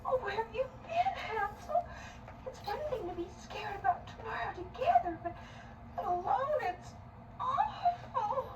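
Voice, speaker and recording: A scared little fairy-tale girl with an extremely high voice that swoops around. She is tearful and melodramatic, wailing her worries. The sound is echoey and set back, with loud music. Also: big pitch swings, a little slow, far from the mic, heavy background noise, heavily acted. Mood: anxious, tearful. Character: frightened little girl, anxious fairy-tale twin sister. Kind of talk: theatrical fairy-tale storytelling.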